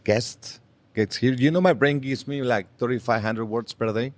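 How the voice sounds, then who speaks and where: A man's voice: mid-range voice, moderate pace. A man hosting a podcast in English, a Spanish speaker by first language, joking loosely with his guest. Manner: joking, loose conversational host. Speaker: podcast host. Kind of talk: casual interview chat.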